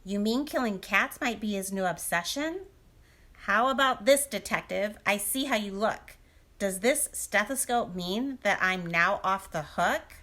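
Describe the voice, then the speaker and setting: An actress playing a dramatic, lovelorn mistress who speaks in pointed, theatrical rhyme in a comic audio drama. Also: female voice, mid-range voice, lively intonation, unhurried, bright, forceful tone. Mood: impatient, challenging.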